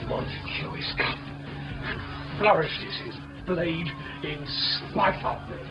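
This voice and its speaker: An actor playing a furious, blustering old lord in declamatory radio Shakespeare. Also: male voice, a little high, slow pace, gravelly, far from the mic. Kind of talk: 1950s radio Shakespeare acting. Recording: dull old radio recording, echoey, music behind.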